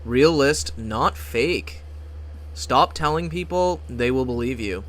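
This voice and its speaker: A young man chatting casually on a livestream as he plays, trailing off into quiet, mumbled asides and swearing now and then. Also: mid-range voice, animated, takes it slowly, poor audio. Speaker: streamer.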